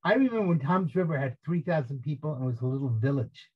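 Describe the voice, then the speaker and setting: A man's voice: mid-range voice, animated, fast, breathy edge, highs cut off. A middle-aged man from New Jersey with a New Jersey accent, chatting and joking on a video call. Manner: chatty, joking, repeats words. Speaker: guest. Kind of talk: casual chat.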